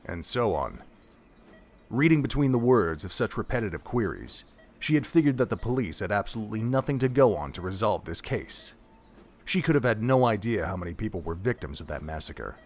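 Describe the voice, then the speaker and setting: A man reading scripted third-person narration as the storyteller of an audio drama. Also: on the low side.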